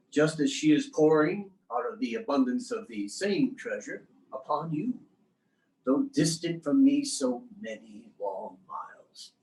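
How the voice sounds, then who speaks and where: A man's voice: medium pitch, darkish tone, slight background noise, natural voice. A man reading as a romantic young husband of the 1830s, writing home in florid, lyrical, old-fashioned language. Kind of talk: dramatic reading of 19th-century letters. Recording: roomy, echoing sound.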